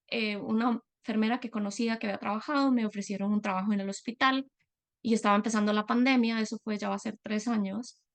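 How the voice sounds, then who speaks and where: Woman, from Latin America, middle-aged, gravelly tone, earnest testimony, hesitant fillers. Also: a little high. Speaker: guest speaker, psychologist. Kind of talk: personal testimony talk.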